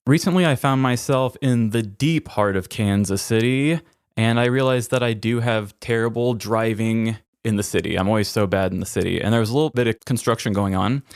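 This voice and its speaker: A man's voice: lowish voice, no echo, clean studio sound. A man chatting casually as a podcast co-host and telling anecdotes in a conversational way.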